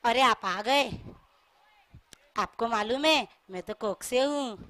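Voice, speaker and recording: A woman playing a gentle young pregnant wife in a stage skit, coaxing, reasoning and pleading. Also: a little high, takes it slowly. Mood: coy, patient. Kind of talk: stage skit acting in dialogue.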